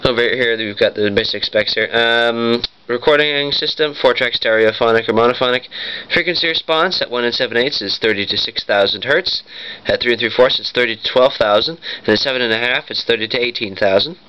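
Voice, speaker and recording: A man's voice: mid-range voice, a little fast, smooth. A man giving a casual, rambling product demonstration, often correcting himself as he goes. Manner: rambling, off-the-cuff, self-correcting. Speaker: vintage audio collector demonstrating equipment. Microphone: handheld camera. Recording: dull lo-fi camera mic with noise.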